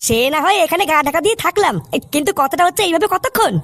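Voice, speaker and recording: A man voicing an excitable, whiny cartoon boy who scares easily, in a slangy, fretful manner with a pitched-up squeaky voice. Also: very fast, dry recording. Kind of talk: comic cartoon dub.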